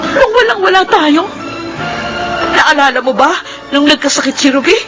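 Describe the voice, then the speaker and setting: A woman's voice: sits high, expressive, normal speed, over music. An actress with a Filipino accent playing an indignant, anguished mother in a radio drama, emotional, pleading and accusing. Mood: anguished reproach. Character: anguished, indignant mother confronting her husband.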